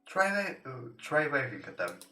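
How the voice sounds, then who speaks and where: Bright-voiced man, non-native English, roomy sound. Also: on the high side, big pitch swings, normal speed. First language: not English. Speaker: let's play host. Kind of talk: reading visual novel text aloud. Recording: roomy echo, distant from mic. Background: soft guitar game music.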